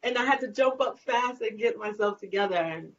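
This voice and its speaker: A woman's voice: medium pitch, fairly quick. A woman singer, chatting animatedly as an interview guest and acting out little scenes. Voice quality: light voice. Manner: animated, laughing, acts out quotes. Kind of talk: casual interview chat. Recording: lo-fi with faint noise.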